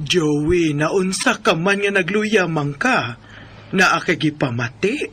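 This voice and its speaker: A woman's voice: lowish voice, animated, slow pace, a bit bright, slight background noise. A woman playing a caring provincial mother in a radio drama. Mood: concerned. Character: caring provincial mother giving her child instructions.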